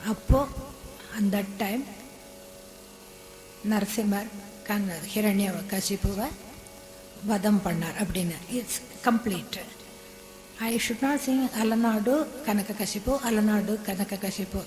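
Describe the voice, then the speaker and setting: A woman's voice: medium pitch, rapid-fire, long pauses, darkish tone. Woman lecturing in a reverberant hall. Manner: explanatory, switches between English and Tamil. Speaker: music teacher giving a lecture-demonstration. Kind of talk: lecture-demonstration on classical singing. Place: concert hall. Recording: long hall reverb, faint music behind.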